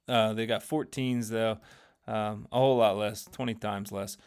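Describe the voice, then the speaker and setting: Low gravelly guy going over his fund trades, kinda monotone, getting hoarse, lots of uh and um. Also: slow pace. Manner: conversational, rambling, many fillers and self-corrections. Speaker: finance channel host. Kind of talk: casual screen-share market recap.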